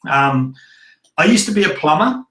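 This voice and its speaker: Middle-aged Kiwi-accented male coach, rambling delivery with restarts, slightly high voice, roomy sound. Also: lively intonation, moderate pace, fairly bright tone. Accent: New Zealand. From New Zealand. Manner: loose and rambling, with many restarts and fillers. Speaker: prosperity coach. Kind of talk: online masterclass talk.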